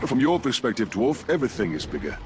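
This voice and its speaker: An actor playing a grim, determined human captain in a video game, dry and resolute. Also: male voice, mid-range voice, big pitch swings, fast talker, a little hiss or hum, natural voice. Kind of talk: video game character acting. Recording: loud music over the voice.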